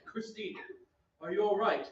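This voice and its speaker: An actor playing an earnest, ardent young opera singer who also narrates an old-time radio drama. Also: male voice, high voice, unhurried. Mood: grave. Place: theatre stage. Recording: very echoey stage, distant, muffled, faint noise.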